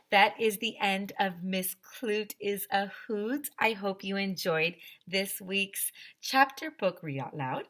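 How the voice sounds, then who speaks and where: Lower-voiced woman reading aloud to children. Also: fairly bright tone. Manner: addresses children directly, emphatic repetition. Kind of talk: expressive children's read-aloud.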